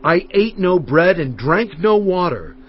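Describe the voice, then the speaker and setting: Solemn, animated biblical prophet. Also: male voice, high-pitched, a little slow, slight background noise. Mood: stern, reproving. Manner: solemn, emphatic oratory. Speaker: dramatized audiobook reader. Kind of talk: dramatized scripture reading. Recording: dull audio with faint music bed. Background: faint background music.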